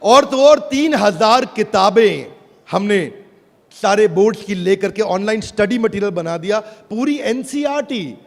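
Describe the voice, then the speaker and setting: A man giving an energetic product pitch on stage to a live audience, teasing and calling out to the crowd. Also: measured pace, creaky. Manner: showy, teasing, calls out to the crowd. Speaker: business presenter on stage. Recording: large hall with crowd and faint noise.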